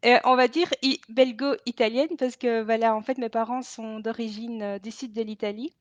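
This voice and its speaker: A woman's voice: a little high, fairly even intonation, normal speed, no room sound. A woman hosting a casual live stream, talking hesitantly with lots of fillers and restarts. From Belgium. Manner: hesitant, many fillers and restarts, frequent laughter. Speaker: laughter yoga host. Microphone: added microphone. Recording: dull lo-fi live stream audio.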